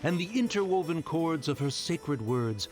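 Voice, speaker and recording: A man's voice: fairly high pitch, lively intonation, moderate pace, a little mellow, lightly acted. A man playing a solemn storyteller who recites a grand fantasy scripture in a video game. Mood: solemn, reverent. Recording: clear background music.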